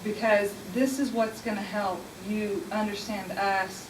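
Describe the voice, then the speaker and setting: A woman's voice: medium pitch, steady tone, unhurried. A woman speaking sincerely on a panel in a conversational way, often restarting her sentences. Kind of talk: panel discussion. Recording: echoey room, distant mic, hiss.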